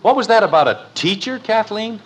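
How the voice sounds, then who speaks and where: A man's voice: high voice, animated, fairly quick, dull audio. A man playing an exasperated, protective middle-aged father in a 1950s radio comedy, blustery and emphatic. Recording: noisy, crackly old radio recording.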